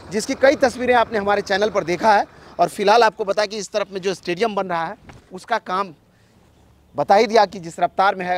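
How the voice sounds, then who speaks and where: A man narrating an on-site video report in a conversational way, repeating his points and restarting phrases. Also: sits high.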